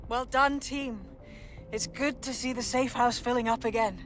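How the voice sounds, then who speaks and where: An actress playing a resolute female resistance leader rallying a team in a video game, brisk and commanding. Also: on the high side, steady tone, fairly bright tone, soft background music, lightly acted. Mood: rousing, resolute. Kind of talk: video game cutscene acting.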